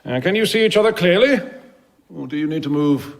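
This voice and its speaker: A man's voice: a little high, animated, fairly quick, gravelly. An actor playing an officious male civic official in a radio drama, with a pompous, formal, commanding manner.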